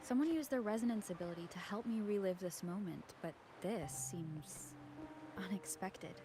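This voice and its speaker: A woman's voice: mid-range voice, moderate pace, mellow, natural voice. A woman voicing a thoughtful, earnest young female wanderer, the protagonist of a video game. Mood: puzzled. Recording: clear music behind.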